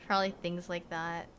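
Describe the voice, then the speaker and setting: Rambling young woman streamer, soft trail-offs. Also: mid-range voice, background noise. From Korea. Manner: rambling, full of "like" and "you know", trailing off softly. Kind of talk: casual chat with viewers. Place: bedroom at home.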